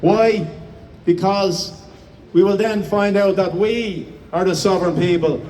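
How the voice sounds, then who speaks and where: A man with an Irish accent hosts a public commemoration in a fairly high voice. He speaks in a declamatory way with occasional hesitations. He sounds distant from the microphone, with light noise behind him. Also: steady tone, measured pace, darkish tone. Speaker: master of ceremonies. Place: outdoors in front of a building.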